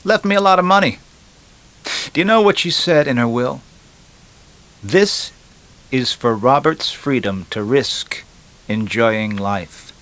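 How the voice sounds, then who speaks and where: A man's voice: mid-range voice, animated, slow, long pauses, a bit bright, pitched above the performer's own voice. A glib young man, acted out by a male narrator in a storybook, talks in a chatty, wisecracking way. He leans on words with punchy emphasis, and a streak of resentment runs under the joking. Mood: bitter, self-justifying.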